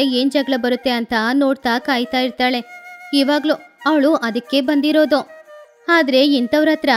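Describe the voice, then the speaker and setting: A woman's voice: sits high, lightly acted. A woman playing a proud, shrewd middle-aged village mother-in-law with a firm, emphatic manner in a story. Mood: knowing. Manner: firm, emphatic, defensive of family.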